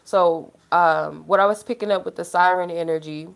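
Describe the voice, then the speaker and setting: A woman's voice: lowish voice, steady tone, normal speed, gravelly. A woman with a stuffy nose, feeling unwell, chatting casually as a tarot reader on a livestream, rambling with lots of filler words. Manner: rambling, heavy use of "like" fillers.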